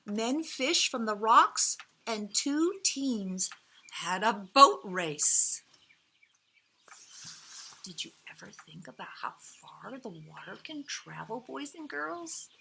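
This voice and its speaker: Woman reading expressively to children, very animated pitch. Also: vocal fry, slightly rough. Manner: dramatic pauses, emphatic key words, gentle asides to young listeners. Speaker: storyteller reading a picture book to children. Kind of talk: expressive children's read-aloud. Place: outdoors.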